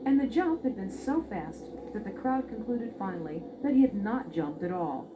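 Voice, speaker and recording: A woman's voice: mid-range voice, animated, moderate pace. A woman narrating a scripted fairy tale expressively as a storyteller. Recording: dull, noisy old record.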